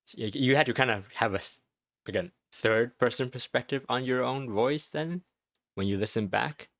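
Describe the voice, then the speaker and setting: A man hosting an interview, asking thoughtful questions in a halting way with many restarts. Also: medium pitch, a bit bright, dry recording. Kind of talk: casual interview.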